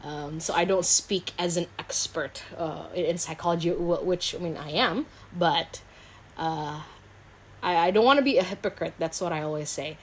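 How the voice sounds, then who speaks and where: A woman's voice: on the low side, moderate pace, slight background noise. A woman from Indonesia speaking as a guest psychologist in a casual interview, candid and self-reflective in an informal way. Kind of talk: casual video interview chat.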